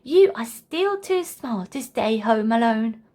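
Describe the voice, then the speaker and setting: A woman's voice: on the high side, expressive, takes it slowly, warm tone. A woman voicing a mother giraffe in a storybook, caring but firm as she explains. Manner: explaining, maternal.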